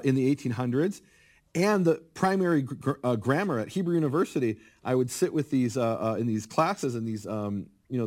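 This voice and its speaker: A middle-aged man speaking as a guest, explaining biblical history in a lively, conversational way and acting out quotes. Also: mid-range voice. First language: English. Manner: animated, acts out quoted speakers. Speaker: guest scholar of biblical Hebrew. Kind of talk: conversational interview, lecturing.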